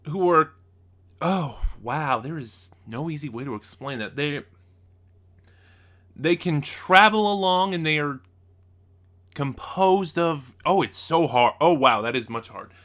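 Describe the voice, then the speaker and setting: A man giving a casual, unscripted review as a reviewer, speaking haltingly and often losing his thread. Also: on the high side, animated, fairly bright tone. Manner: halting, loses his train of thought.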